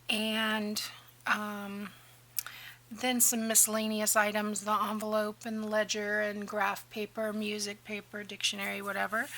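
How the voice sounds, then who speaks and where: A woman with a bright, fairly high voice talks through a craft project at her table in a chatty, rambling way, slipping into near-whispers now and then. Her voice is a little hoarse, and faint background noise sits under the camera mic. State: slightly hoarse, losing her voice. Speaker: craft channel host. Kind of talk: casual crafting tutorial narration.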